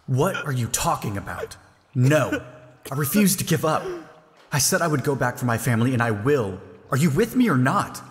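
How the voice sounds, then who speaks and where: A man's voice: mid-range voice, big pitch swings, moderate pace, a little hiss or hum, natural voice. An actor playing a frightened but determined male survivor, pleading and urgent, in a sci-fi audio drama. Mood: defiant, pleading. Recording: reverberant hall-like space.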